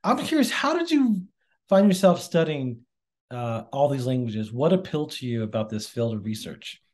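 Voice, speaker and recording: A man's voice: medium pitch, moderate pace. A young man interviews a guest over a webcam from his home office. His voice rises and falls a lot as he asks eager, curious questions. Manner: lively, inquisitive questioning. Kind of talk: video call interview. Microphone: video call webcam.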